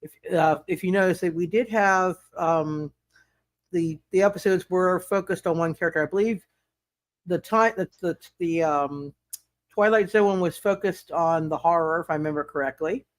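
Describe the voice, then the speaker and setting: A man's voice: high voice, moderate pace, no echo. A man hosting a roleplay livestream as game master, chatting casually and often repeating words and restarting his sentences. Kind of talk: casual livestream chat and recap.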